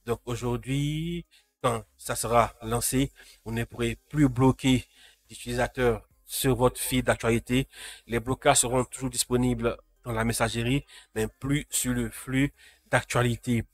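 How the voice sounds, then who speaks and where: A man's voice: mid-range voice, fairly even intonation, unhurried, no room sound. A man with a cold hosting a casual live tech news roundup, greeting chat viewers by name. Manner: talks to live chat viewers, frequent restarts. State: has a cold.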